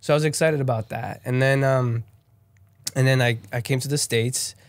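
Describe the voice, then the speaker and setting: Brazilian-born young man, guest voice, mid-pitched, loose and rambling, close dry recording. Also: steady tone, normal speed, no room sound. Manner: rambling, many fillers and restarts. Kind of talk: casual interview chat.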